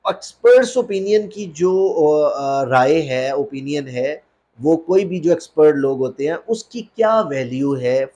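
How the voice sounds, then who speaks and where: A Pakistani man with a slightly high voice speaks as a lawyer teaching law. He explains point by point in a didactic manner, repeating phrases for emphasis. English legal terms are mixed throughout his speech. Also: dark tone. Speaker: lawyer giving a legal lecture.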